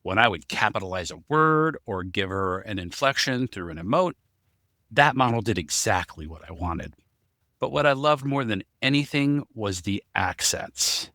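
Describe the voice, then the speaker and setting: Bright, lowish-voiced man. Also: animated, moderate pace, dry recording, clean studio sound. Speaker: podcast co-host. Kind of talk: conversational explanation.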